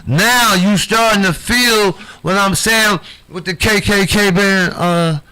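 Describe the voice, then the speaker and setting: A man's voice: high voice, slow pace, sharp tone, clean tone, poor audio. A man from Chicago hosting a livestream show, talking in a loose, profane, swaggering way with street slang and a Chicago accent. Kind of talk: loose improvised banter.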